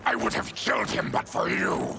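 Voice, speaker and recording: An actor playing a proud, embittered disfigured mutant leader with a gravelly growl in a video game cutscene. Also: male voice, normal speed, very noisy, close to an everyday voice. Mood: desperate fury.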